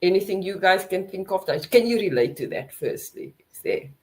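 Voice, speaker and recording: A South African woman hosting a podcast, chatting in a relaxed way and often checking in with her guests. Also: medium pitch, normal speed. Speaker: podcast host. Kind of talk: casual chat.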